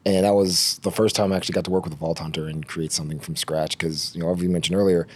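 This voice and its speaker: Male guest, effects artist, very deep voice, a little husky, easygoing. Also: flat delivery, fast talker. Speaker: game visual effects artist. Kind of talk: casual podcast chat.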